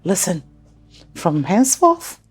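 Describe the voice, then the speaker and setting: A woman playing a plotting accomplice in a screen drama, measured in delivery. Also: lowish voice, sing-song, slightly rough, heavily acted. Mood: gloating.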